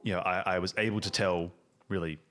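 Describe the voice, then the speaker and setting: A man talking casually as a game master, halting and emphatic as he thinks aloud and describes things. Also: low voice, fairly even intonation, fairly bright tone. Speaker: show creator and game master. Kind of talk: casual chat and improvised game narration.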